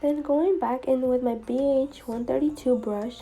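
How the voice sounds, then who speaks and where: A woman's voice: high voice, steady tone, normal speed, gravelly, dark tone. A young woman narrating a makeup tutorial as a voice-over, her voice a little off from being sick. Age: young adult. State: sick, voice affected by a cold. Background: background music.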